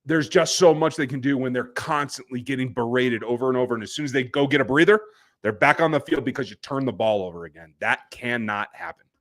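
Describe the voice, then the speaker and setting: A man's voice: medium pitch, fast. A man hosting a live post-game sports reaction show, with a fired-up, ranting delivery. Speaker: podcast host.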